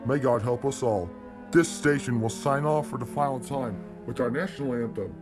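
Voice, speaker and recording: A man's voice: mid-range voice, normal speed. A man making a grave, formal emergency alert announcement, stumbling now and then. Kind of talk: mock emergency alert broadcast. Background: loud music.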